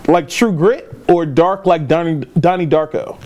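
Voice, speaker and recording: Man, slightly high voice, mellow tone, podcast host, easygoing, questioning, casual chat. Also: measured pace. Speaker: podcast host moving through news topics. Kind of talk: casual chat about games and movies.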